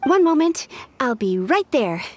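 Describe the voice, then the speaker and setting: A brisk, businesslike site overseer. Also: female voice, sits high, big pitch swings, darkish tone, a little hiss or hum. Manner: matter-of-fact, explanatory. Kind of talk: game voice acting.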